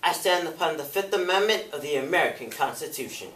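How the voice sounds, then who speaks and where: A man's voice: a little high, expressive, quick pace, a bit bright. A man performing a defiant, indignant male activist testifying before a committee, emphatic and oratorical, in a dramatic stage interpretation recorded from afar in an echoey room. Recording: echoey room, picked up from far off.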